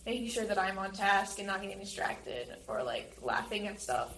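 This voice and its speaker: A teenage girl with a mid-pitched voice answers interview questions casually. She sounds recorded in a roomy space with some background noise. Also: big pitch swings, normal speed, a bit bright. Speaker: student actress.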